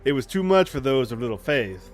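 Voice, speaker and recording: Haunted rural boy telling his story for a visual novel read by a man, average pitch, unadorned halting read, music underneath. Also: very animated, lightly acted. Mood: somber, reflective. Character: haunted country boy Runt recounting his childhood. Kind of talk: reading visual novel narration aloud.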